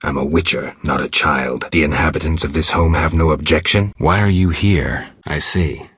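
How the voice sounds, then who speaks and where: A man playing a stoic, weary monster hunter in a video game, terse and deadpan, with a raspy voice. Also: moderate pace, dry recording, studio quality, heavily acted. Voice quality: raspy, gravelly low voice. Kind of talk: video game character dialogue.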